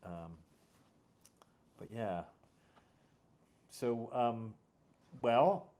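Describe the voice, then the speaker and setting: A man's voice: mid-range voice, expressive, very slow, a little mellow. A man hosting a casual interview, talking in a hesitant, rambling way and often correcting himself. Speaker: podcast host and audio drama series creator.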